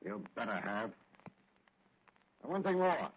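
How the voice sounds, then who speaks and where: An actor playing a cold, sardonic, commanding racketeer chief in a 1940s radio crime serial. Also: male voice, medium pitch, very animated, a little slow, breathy edge. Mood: threatening, commanding. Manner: mock-polite, commanding, theatrical. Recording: muffled old 1940 radio recording.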